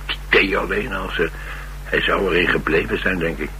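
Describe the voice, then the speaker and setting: A restless, wisecracking Dutch loner in a radio drama. His voice is very low and has a bright, forceful edge. He banters in a sardonic, streetwise way, over faint hum and hiss. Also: steady tone, normal speed, low-quality recording. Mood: wry, boastful. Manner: flippant, sardonic, colloquial banter. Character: cocky, restless, wisecracking male loner on the run. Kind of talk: naturalistic radio play dialogue.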